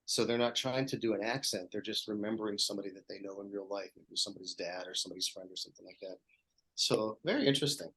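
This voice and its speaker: A man's voice: on the low side, fast, pushed and bright, poor audio. A man who used to teach, a guest on a casual podcast, talking with enthusiasm. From Pittsburgh, Pennsylvania.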